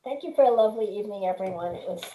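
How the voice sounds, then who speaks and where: Woman, soft dark tone, casual chat, video call, strong room echo. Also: mid-range voice, expressive, racing, a little hiss or hum. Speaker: event participant. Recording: roomy, echoing video call audio.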